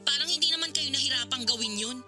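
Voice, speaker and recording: Actress as wounded grown daughter, emotional, reproachful, high voice, music behind, radio drama. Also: female voice, quick pace, close to an everyday voice. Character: hurt, resentful grown daughter confronting her father.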